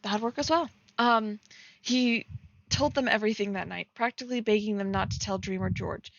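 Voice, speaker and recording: A woman's voice: medium pitch, moderate pace, creaky, muffled recording. A giggly woman casually reading fan fiction aloud and breaking off to comment on it as she streams.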